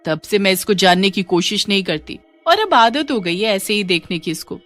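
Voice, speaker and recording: A woman's voice: medium pitch, moderate pace, fairly bright tone, soft background music, lightly acted. A woman voicing a friendly young college student in a story, chatty and gossipy. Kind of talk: Hindi story dialogue.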